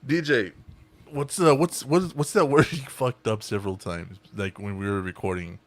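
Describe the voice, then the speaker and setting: A man's voice: on the low side, fairly even intonation, moderate pace. A man hosting a casual group podcast over a video call, rambling with restarts and swearing freely. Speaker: podcast host.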